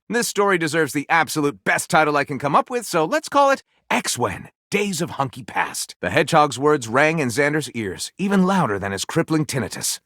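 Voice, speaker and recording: Game role: flamboyant male storyteller, pompous and wry, very animated intonation, close dry sound. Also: a little high, dry recording, heavily acted. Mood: wry, excited. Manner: theatrical, grandiose, wry. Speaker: voiced video game character. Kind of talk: dramatic storybook narration with wry asides.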